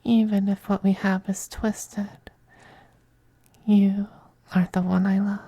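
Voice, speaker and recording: Possessive femboy vampire noble played by an actor, ASMR intimacy: very mellow, slow, breathy whispers, tender yet domineering. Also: medium pitch, flat delivery, dry recording. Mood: possessive, devoted. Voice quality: breathy, soft, often whispering.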